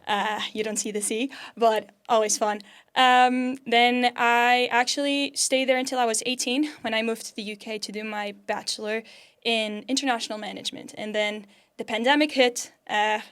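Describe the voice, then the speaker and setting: A woman's voice: fairly high pitch, steady tone, normal speed, fairly bright tone, smooth. A young woman in her twenties with a Portuguese accent, chatting as a podcast guest in an upbeat way, laughing often. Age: 20s. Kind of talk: casual interview chat.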